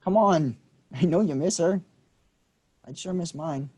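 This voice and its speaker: Guy doing a husky young dude, pushy and cussing, kinda high voice. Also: a little slow, mellow. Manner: combative, swearing, pushy. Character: young man, quick-tempered city cousin. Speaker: actor in a staged reading.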